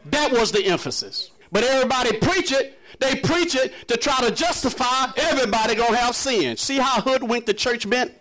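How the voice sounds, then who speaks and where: A man's voice: extremely high pitch, lively intonation, normal speed, hardly any pauses, fairly bright tone, poor audio. A man preaching a sermon in an emphatic, conversational and challenging manner, full of rhetorical questions. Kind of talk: impassioned sermon to a congregation.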